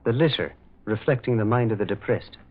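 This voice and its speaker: An actor playing a gentle, earnest priest detective in an old radio mystery, kindly and moralizing, with a hesitant way of speaking. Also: male voice, on the low side, a little fast, lightly acted. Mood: mild, reflective. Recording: thin, phone-like old radio recording.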